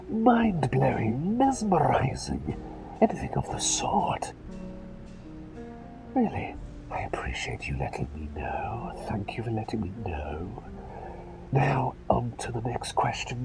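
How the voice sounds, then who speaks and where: A man's voice: medium pitch, heavily acted, low-quality recording. A man voicing an eccentric, absent-minded plague doctor in a whispered ASMR roleplay, speaking in hesitant, polite, rambling phrases. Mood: playful, confiding. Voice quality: breathy whisper with creaky edges. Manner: hesitant, rambling, old-fashioned genteel phrasing.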